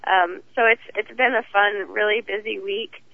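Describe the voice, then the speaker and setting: A hoarse woman with a cold, talking as the guest in a phone interview, chatty and rambling. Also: mid-range voice, steady tone, moderate pace, no echo. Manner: chatty, rambling, laughs easily. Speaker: guest champion driver. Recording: tinny phone line.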